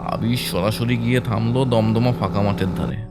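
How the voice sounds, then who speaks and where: Low-voiced male horror story narrator. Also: steady tone, normal speed, over loud music. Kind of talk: scripted first-person horror story narration.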